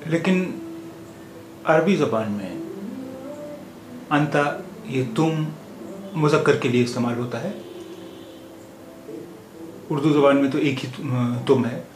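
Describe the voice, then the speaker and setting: A man giving a language lesson as a teacher, repeating phrases deliberately in a didactic way. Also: mid-range voice. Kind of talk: spoken language lesson with slow repetition. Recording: echoey room with clear background noise.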